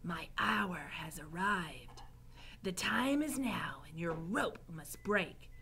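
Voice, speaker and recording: A woman voicing a suave, sly, vengeful male rat villain in a breathy whisper, reading a story. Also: on the high side, huge pitch swings, unhurried, pitched above the performer's own voice, heavily acted. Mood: menacing, gloating.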